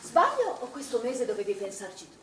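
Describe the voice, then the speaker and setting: Stern pool manager, very expressive pitch. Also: female voice, a little fast. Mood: scolding. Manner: insistent, interrogating, scolding. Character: stern, probing female swimming pool manager. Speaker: actor. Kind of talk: naturalistic stage drama dialogue. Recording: echoey, distant stage recording with noise.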